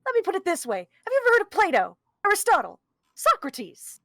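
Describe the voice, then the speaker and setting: Pompous scheming villain, really high voice, swoops all over, wordy and full of himself. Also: male voice, wildly swooping pitch, fairly quick, sharp tone, no echo, studio quality, heavily acted. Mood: smug. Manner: pompous, verbose, argumentative. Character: conceited, scheming criminal mastermind holding a hostage. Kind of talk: theatrical table-read performance.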